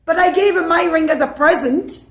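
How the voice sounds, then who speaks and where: A bubbly, innocent young daughter, as a woman storyteller puts her on. Her voice rides high and light. The room adds a clear echo. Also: moderate pace. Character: cheerful, playful young woman, rich man's daughter.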